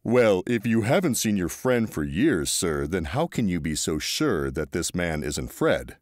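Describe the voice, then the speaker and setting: A man voicing a stern male police officer who questions in a flat, authoritative way. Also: sits low, a little slow, no room sound, clean studio sound.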